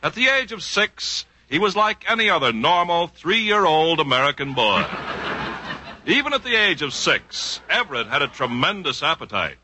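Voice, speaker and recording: A male announcer reading mock-grand scripted narration in 1940s radio style, pompous and declamatory, with drawn-out phrases. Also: on the high side, sing-song, sharp tone. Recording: noisy, crackly 1940s radio recording.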